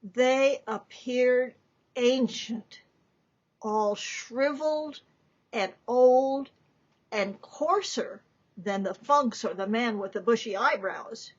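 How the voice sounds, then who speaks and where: A woman giving a dramatic reading of a story, deliberate and theatrical, with emphatic pauses. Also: fairly high pitch, highs cut off. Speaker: author reading from own book.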